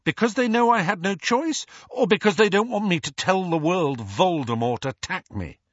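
A man's voice: high-pitched, lively intonation, normal speed, dry recording, voice pushed up. A man voicing a bitter, sarcastic teenage boy. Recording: dry, dull lo-fi audio.

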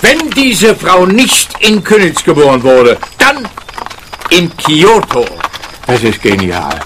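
An actor playing a pompous, dim, self-satisfied policeman in a comic radio play, blustering and excitable. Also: a little high, takes it slowly, noisy background, exaggerated voice. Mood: smugly triumphant.

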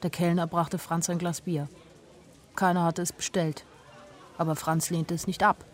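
A woman's voice: lowish voice, level tone, moderate pace, lightly acted. An actress playing a sensitive young woman who tells her own story in an intimate, literary radio drama narration. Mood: wistful. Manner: literary, intimate first-person telling.

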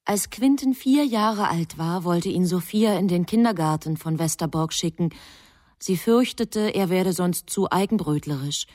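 Radio play narrator: woman as a composed angel, lowish voice, matter-of-fact, close dry studio sound. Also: unhurried, darkish tone, no echo, close to an everyday voice. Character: composed angel reporting a human boy's life to a superior. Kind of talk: scripted narration in a radio drama.

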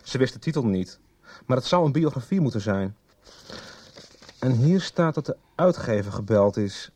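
An actor in a radio soap playing a chatty, conspiratorial library worker with a husky voice and halting, repetitive delivery. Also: male voice, mid-range voice, animated, warm tone. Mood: puzzled, explaining. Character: chatty, conspiratorial male library worker poring over records. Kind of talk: 1990s radio soap acting.

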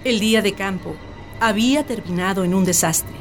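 A woman's voice: mid-range voice, normal speed, faint music behind. A woman reading scripted, dramatic narration for a radio play.

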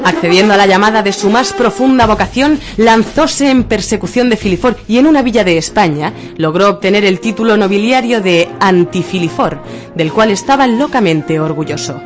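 A woman narrating a radio drama in a dramatic, literary and deliberate style. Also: mid-range voice, expressive. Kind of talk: theatrical scripted narration of absurdist radio fiction. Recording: music bed under the voice.

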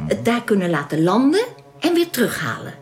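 A woman's voice: mid-range voice, sing-song, brisk, lightly acted. Composed, lilting young alien woman played by an actress. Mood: earnest, explanatory. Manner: polite, composed, explanatory. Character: calm, welcoming young alien woman guiding stranded space travellers. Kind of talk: radio drama acting.

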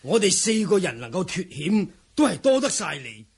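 An actor playing an older, loyal martial manor lord in a Cantonese radio drama, exclamatory and swooping in speech. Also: male voice, sits high, big pitch swings, normal speed, slightly rough, full character voice. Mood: puzzled.

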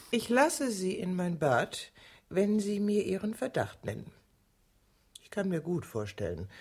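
An actress in a German radio drama playing an obsessed, defiant murderess, dry and sarcastic, sometimes dropping to a breathy murmur. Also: female voice, on the low side, slight background noise. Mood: cool, sarcastic. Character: obsessed, defiant murderous woman, coolly evasive, lovestruck.

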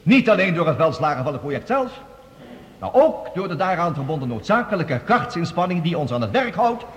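An actor playing a confident, visionary scientist, giving a formal, eloquent declamatory address in a radio drama. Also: male voice, sits high. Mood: rousing, fervent. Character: visionary, confident male scientist presenting a bold Mars plan. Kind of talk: Dutch radio drama acting, formal speech. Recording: long reverberant hall.

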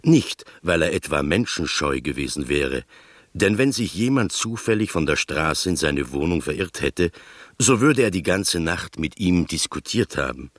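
A man playing the reflective narrator of an eccentric mystery tale in a radio drama, measured and deliberate. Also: low-pitched, expressive, no room sound, clean studio sound. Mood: reflective, intrigued.

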